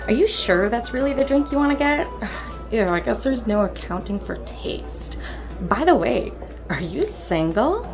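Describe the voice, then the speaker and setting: A woman's voice: fairly high pitch, sing-song, unhurried, creaky, full character voice. A woman playing a flirtatious, slightly snooty tavern patron in a fantasy radio drama. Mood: teasing, flirty. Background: music.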